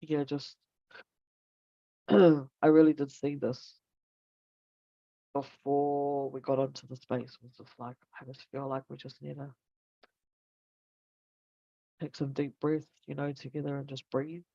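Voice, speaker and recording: A woman's voice: extremely low pitch, flat delivery, unhurried, creaky, pauses often, warm tone, no echo, lo-fi sound. A woman from New Zealand hosting a casual online talk, meandering and searching for her words, then guiding a breathing session. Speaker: host.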